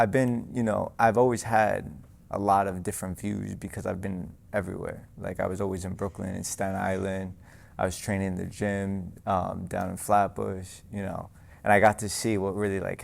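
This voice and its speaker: Gravelly low young New boxer. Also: male voice, moderate pace. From New York. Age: young adult. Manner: relaxed, lots of fillers like "you know". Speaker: guest, amateur boxer. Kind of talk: casual interview. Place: boxing gym.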